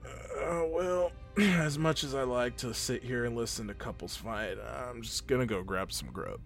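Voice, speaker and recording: Actor as smug teasing spaceship crew guy, slow drawn out talk, mid pitch, bit of music behind. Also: lightly acted. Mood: amused, mocking. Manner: mocking, casual. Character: smug, teasing, laid-back male crewmate. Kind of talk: audio drama acting.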